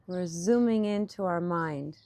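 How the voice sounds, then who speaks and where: A woman leading a guided meditation as a teacher, with gentle, pausing phrasing, speaking outdoors. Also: lowish voice, dry recording. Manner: unhurried, gentle phrasing with pauses. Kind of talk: guided meditation, softly instructive and partly improvised. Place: outdoors in a park. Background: birdsong.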